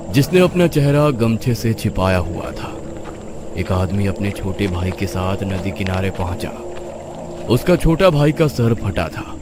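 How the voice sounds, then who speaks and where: A man's voice: fairly low pitch, background noise. A man narrating a scripted horror story, with music playing behind him.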